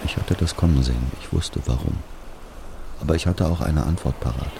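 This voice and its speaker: A man's voice: rumbling low, fairly quick, creaky, heavy background noise. A man playing a wistful Algerian narrator recalling love and loss in a literary audio drama. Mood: resigned. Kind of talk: dramatic first-person narration.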